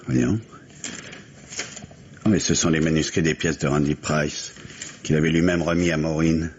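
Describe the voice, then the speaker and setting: An actor playing a grave, bitter widower in a French mystery radio play, with measured, dramatic delivery. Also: male voice, extremely low pitch, fairly even intonation. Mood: courteous, preoccupied. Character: grieving, bitter New York widower hunting his wife's killer. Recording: dull, hissy vintage radio recording.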